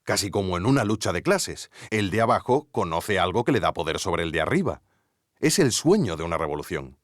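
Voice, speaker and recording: A man playing an earnest investigative journalist, narrating a scripted documentary mystery in a deliberate, theatrical way. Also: low-pitched, big pitch swings, no room sound, studio quality. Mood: intriguing, deliberate. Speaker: podcast narrator and investigative interviewer.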